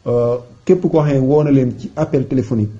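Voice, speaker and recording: A man's voice: medium pitch, a little fast, mellow. A man with a Senegalese accent presenting an informal explainer voice-over as an advisory tutorial. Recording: dull, muffled lo-fi recording.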